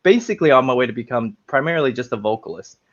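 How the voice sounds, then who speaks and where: Young guy rambling on a video call, lots of likes and ums, sound a bit dull and lo-fi, mid-pitched voice. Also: animated, racing. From United States, raised in New York and South Florida. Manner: rambling, filler-heavy, frequent restarts. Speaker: guest actor. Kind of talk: casual interview chat.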